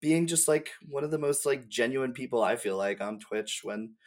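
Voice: medium pitch, fairly even intonation, normal speed, darkish tone. A podcast guest and streamer chatting casually in a friendly, gushing, slangy way. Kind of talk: casual chat.

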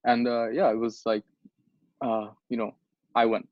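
A man's voice: mid-range voice, little pitch movement, unhurried, no room sound. Rambling young man, dry muffled sound. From Bangladesh. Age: young adult. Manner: rambling, many restarts and fillers, swears casually. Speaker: podcast co-host. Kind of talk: casual chat.

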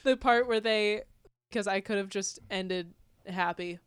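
A woman's voice: mid-range voice, measured pace, smooth, no echo. A young American woman co-hosting a podcast in casual, joking banter, often laughing as she talks. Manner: giggly, laughs often while talking. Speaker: podcast co-host. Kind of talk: casual comedic banter chat.

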